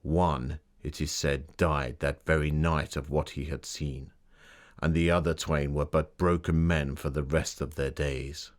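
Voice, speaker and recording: Victorian author, deep male voice, measured, formal, theatrical, exhibit narration of dark tales. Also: flat delivery. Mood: ominous, dramatic. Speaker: voice actor. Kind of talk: dramatic scripted storytelling for an exhibit.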